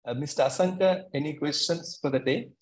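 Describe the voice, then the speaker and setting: A man's voice: fairly high pitch, fairly quick. A man tutoring students for an exam in an online class, earnest and repeating words for emphasis. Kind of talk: online exam coaching talk.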